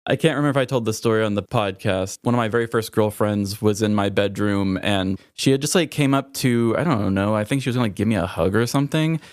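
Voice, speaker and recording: A man's voice: fairly low pitch, quick pace, few pauses, no echo, studio quality. A man co-hosting a podcast, telling stories in a casual, comedic chat style. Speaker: podcast co-host.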